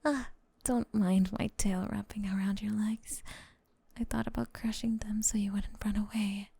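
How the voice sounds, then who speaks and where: A woman's voice: mid-range voice, fairly even intonation, normal speed, gravelly, warm tone, husky, no echo. A woman voicing a doting, possessive snake-girl girlfriend with sweet menace, in an intimate, coaxing ASMR roleplay that often drops to a whisper. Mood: possessive, smug.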